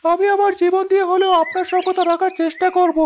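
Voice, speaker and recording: A performer voicing a prince's loyal, cautious, caring companion in an animated fairy tale, with a thin voice. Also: very high-pitched, fast talker, slight background noise. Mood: dutiful.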